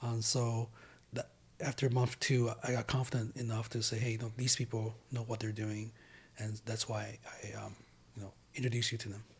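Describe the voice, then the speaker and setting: A man from Taiwan, a law firm owner guesting on a podcast in a studio, talking in a steady, plain-spoken way. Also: mid-range voice, moderate pace, slightly rough. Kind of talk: casual interview chat.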